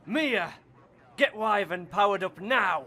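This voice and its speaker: A stern military commander barks his orders with a high, bright, tense voice, as a man plays him. He talks at an unhurried pace in this fan-made radio play. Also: expressive, faint music behind, heavily acted. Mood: commanding. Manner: barking orders.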